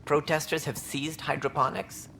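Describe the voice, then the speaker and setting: A man playing a cold, cautious colony director, measured and officious, in a video game. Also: on the high side, steady tone, vocal fry, pushed and bright, slight background noise. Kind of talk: video game cutscene acting. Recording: faint background ambience.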